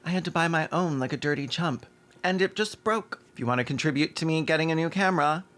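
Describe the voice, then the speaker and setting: A man's voice: fairly high pitch, fast, a bit bright. Male video essay host, scripted sarcastic commentary, snarky asides, mocking delivery, some swearing.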